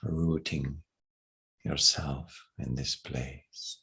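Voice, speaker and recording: A man with an extremely low, very husky and breathy voice guides a meditation. He speaks softly and slowly, in a hushed manner, leaving long pauses between short phrases. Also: steady tone.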